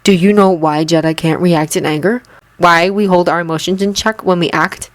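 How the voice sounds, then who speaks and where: A woman voicing a calm, stern young male mentor who speaks softly in a storybook reading. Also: on the low side, normal speed, no room sound, lightly acted. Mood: serious. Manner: soft, stern.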